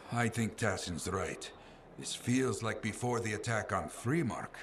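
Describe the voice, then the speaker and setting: An actor playing a grave, middle-aged veteran mercenary in a video game, narrating as a solemn, dramatic storyteller. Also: male voice, lowish voice, lively intonation, takes it slowly, slight background noise. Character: grave veteran male mercenary recounting a fallen city.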